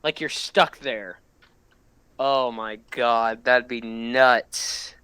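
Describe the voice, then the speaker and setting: A man giving casual, excitable reaction commentary as a host, often bursting into exclamations. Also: mid-range voice, very slow, clear, resonant tone, dry recording.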